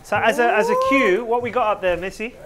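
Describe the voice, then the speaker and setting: A woman's voice: a little high, fast talker. A young woman co-presenting in a chatty, teasing, casual way. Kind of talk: casual chat.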